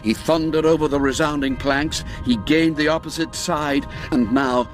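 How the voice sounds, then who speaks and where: A man narrating a classic radio story in a measured, dramatic way, dropping low and quiet for the grim moments. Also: steady tone. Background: faint music score.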